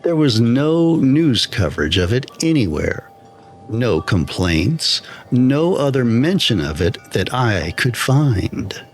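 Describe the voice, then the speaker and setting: Casting: male narrator for horror fiction, unhurried and solemn, with lively pitch movement. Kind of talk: scripted first-person horror story narration. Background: background music.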